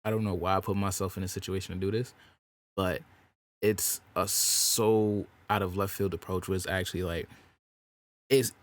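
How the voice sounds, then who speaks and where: Young adult man, deep low voice, relaxed slangy podcast talk about his songs. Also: moderate pace. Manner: loose slangy talk, says "bro" a lot. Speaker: rapper and singer. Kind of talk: casual podcast chat about making music.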